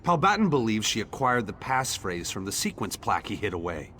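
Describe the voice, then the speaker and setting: A man's voice: fairly low pitch, very animated, brisk, fairly bright tone, slight background noise. A man voicing a hopeful, grateful young townsman in video game dialogue, speaking earnestly and with a declamatory turn. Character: hopeful, grateful young townsman defying fate and rallying his people. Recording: background music under the voice.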